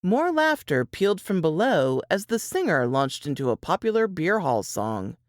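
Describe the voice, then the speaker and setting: A woman reads fiction as narrator. Her voice is slightly low and moves through a wide range of pitch. The recording is dry and close. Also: very animated, moderate pace, no room sound.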